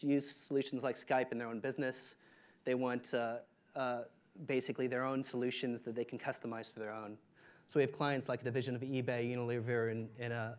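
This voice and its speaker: Brief: male presenter, mid-pitch, energetic tech pitch, filler-heavy delivery. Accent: non-Ukrainian English. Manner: energetic, many fillers, lifts pitch on key points. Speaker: startup presenter.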